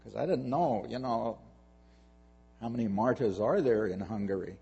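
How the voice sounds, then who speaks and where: A man tells a personal story in a halting, conversational way, often doubling back on his words. His voice is dark and husky with a gravelly creak. It carries a long reverb, as if from a hall. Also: medium pitch, muffled recording. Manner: halting, repeats words while telling the story.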